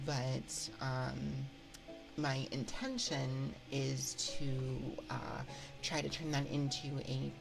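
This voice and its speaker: A woman hosting an ASMR livestream, chatting casually and haltingly as she replies to viewers on a binaural microphone. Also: a bass voice, vocal fry, music in the background, low-quality recording. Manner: halting, reading and replying to chat. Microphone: binaural 3Dio microphone.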